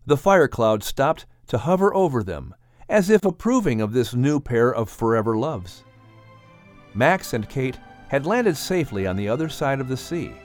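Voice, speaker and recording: Expressive male narrator with lively pitch. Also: no room sound, clean studio sound. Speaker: audiobook narrator. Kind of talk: expressive children's story narration.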